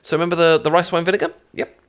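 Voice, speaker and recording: A British man acting out an eager, friendly best friend in a casual ASMR roleplay, with a halting, stammering, rambling way of talking. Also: a little high, little pitch movement, a bit bright, smooth, lightly acted. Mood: chatty, instructive. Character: friendly, eager young male best friend teaching sushi-making.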